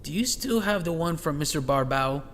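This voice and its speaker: High-voiced, talkative actor as a male detective, reverberant. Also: expressive, normal speed, fairly bright tone, a little hiss or hum. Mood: polite, probing. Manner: talkative, sardonic, eager. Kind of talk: audio drama dialogue. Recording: reverberant hall, music underneath.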